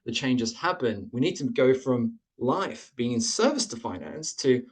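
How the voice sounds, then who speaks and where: A man's voice: medium pitch, animated, a little slow, slightly rough. A man who grew up in Australia, presenting slides on a webinar over a computer mic, explaining points in an earnest way and stacking them in lists.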